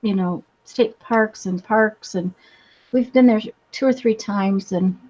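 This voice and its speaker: A woman's voice: mid-range voice, slow, a little mellow. A woman chatting casually in a hesitant, rambling way. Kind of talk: casual chat. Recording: slightly dull, lo-fi sound.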